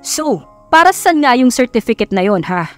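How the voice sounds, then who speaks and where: A woman's voice: fairly high pitch, sing-song, normal speed, faint music behind, pitched above the performer's own voice. A Filipino woman voicing a cocky, sarcastic young billionaire playboy who taunts, in an audiobook romance. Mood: irritated, sarcastic. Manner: taunting, code-switching into English.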